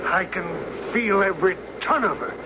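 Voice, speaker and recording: Nervous older doctor, played by an actor, muffled recording. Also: male voice, high voice, moderate pace, background noise, close to an everyday voice. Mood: frightened. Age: 60s. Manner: nervous, plain-spoken, hesitant. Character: anxious sixty-five-year-old doctor forced to fly a jet. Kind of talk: radio drama acting. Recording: muffled radio recording, loud aircraft drone.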